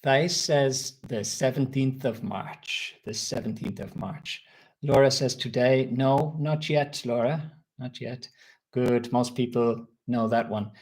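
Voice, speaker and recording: A man's voice: mid-range voice, darkish tone, rough recording. A man from Ireland with an Irish accent, teaching a live online English lesson and reading out viewers' answers. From Republic of Ireland. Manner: slow, clear teacher talk, reading out viewers' comments.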